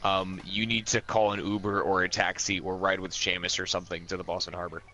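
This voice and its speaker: A man's voice: sits low, level tone, fairly quick, bright, forceful tone. A man playing an online tabletop role-playing game, talking casually and bluntly with plenty of swearing, over background noise. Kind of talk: casual in-character play and recap.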